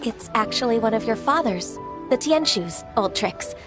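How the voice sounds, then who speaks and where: An actress playing a gentle, modest secretary and mentor in a game, courteous and formal in her speech. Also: fairly high pitch, expressive, moderate pace, lightly acted. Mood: calm explaining. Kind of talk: animated game dialogue. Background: game music.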